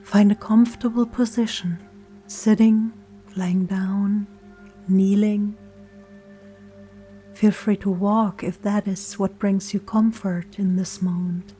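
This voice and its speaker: Woman, meditation guide, very slow, soft and soothing, mellow dark tone, often breathy, calm music behind. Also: medium pitch. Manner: soft, soothing, often breathy and near-whispered, with deliberate pauses. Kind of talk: gentle scripted guided meditation. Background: soft meditation music and singing bowl tones.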